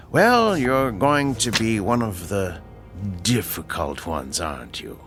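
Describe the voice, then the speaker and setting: A mysterious stranger in a radio drama, who speaks in a low voice with slow, deliberate formality. His delivery rises and falls widely. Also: sing-song, fairly bright tone, faint music behind, full character voice. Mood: coaxing. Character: mysterious calm male stranger who judges people.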